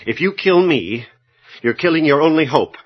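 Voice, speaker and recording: A man playing an anxious scientist doctor in a radio drama, deliberate and urgent in narration and dialogue. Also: on the high side, animated, no echo. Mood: tense reasoning. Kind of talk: radio drama acting with first-person narration. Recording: dull, noisy lo-fi audio drama recording.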